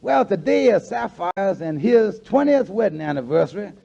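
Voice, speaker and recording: An actor playing an earnest, fretful, plain-dealing friend in a 1940s radio comedy. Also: male voice, high-pitched, big pitch swings, moderate pace, creaky, mellow, full character voice. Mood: exasperated. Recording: muffled 1940s radio recording.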